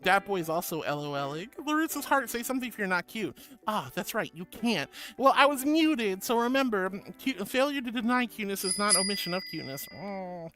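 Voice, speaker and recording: A woman's voice: mid-range voice, very animated, fairly quick, a bit bright. A woman streamer chatting casually with her viewers, reading their comments aloud and calling them darling, honey and sweetie with a playful, giggly manner. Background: background music.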